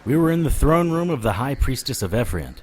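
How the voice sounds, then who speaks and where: An actor playing a tough, wry investigator who narrates his own adventures in a deadpan, hardboiled audio drama style. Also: medium pitch, fairly quick, slightly rough. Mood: hardboiled narrating.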